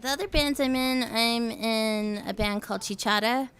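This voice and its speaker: A woman's voice: on the high side, moderate pace, fairly bright tone. A woman musician talking casually as a guest on a studio show, chatty with many fillers and restarts. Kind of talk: casual interview chat.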